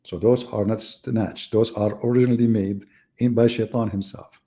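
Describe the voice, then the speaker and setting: Gravelly, mocking man on a live debate. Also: lowish voice, steady tone, normal speed, mellow. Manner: mocking, sarcastic rhetorical questions. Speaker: debate host. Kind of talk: live online debate monologue.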